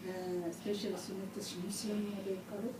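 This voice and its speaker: A woman from Somalia with a Somali accent, speaking as a radio panel guest in halting, informal phrasing, recorded from a distance in an echoey room. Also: medium pitch, little pitch movement. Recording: distant mic, echoey room, noisy background.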